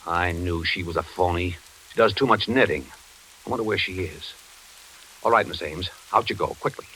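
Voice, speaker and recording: Deep-voiced, commanding radio villain. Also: male voice, normal speed, pushed and bright. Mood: brisk, scheming. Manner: smooth, commanding, menacing. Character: devious male villain, ex-FBI man posing as ally. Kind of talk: 1940s radio thriller acting. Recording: noisy old radio recording.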